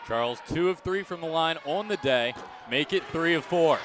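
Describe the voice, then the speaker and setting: A young man calling live basketball play-by-play for radio in a projected, excited manner, recorded in an arena. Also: fairly high pitch, moderate pace. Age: young adult. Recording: gym noise behind radio commentary. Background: court noise, bouncing balls.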